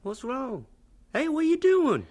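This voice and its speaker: An actor playing an eager, excitable young male assistant in a radio drama, talking informally. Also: high-pitched, unhurried, heavily acted. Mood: eager, concerned.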